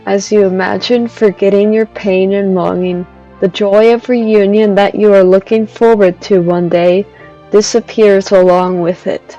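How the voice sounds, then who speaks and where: A woman streaming a video game, reading dialogue aloud carefully and deliberately through a dull lo-fi microphone. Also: medium pitch, fairly even intonation, dark tone, soft background music.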